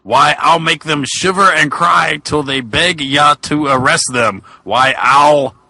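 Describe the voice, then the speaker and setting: A man's voice: fairly high pitch, slow, pushed and bright, no room sound, heavily acted. A man voicing a dim, good-natured ghost of a wrestler, blustery and eager, in a comic reading. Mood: eager bluster. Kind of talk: dramatic comic reading of fan fiction.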